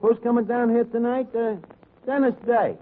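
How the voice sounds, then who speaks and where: An actor playing a brash, scheming tavern manager in a 1940s radio comedy, with a hesitant, wisecracking telephone drawl. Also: male voice, very high voice, fairly even intonation, moderate pace. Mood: breezy, wisecracking. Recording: dull, noisy vintage radio recording.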